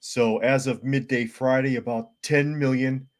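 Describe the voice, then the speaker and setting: A man with a sore, hoarse throat who hosts a live podcast, reading viewer comments aloud in a plain-spoken, casual way. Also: mid-range voice, fairly even intonation, measured pace. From United States. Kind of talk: casual live-stream chat.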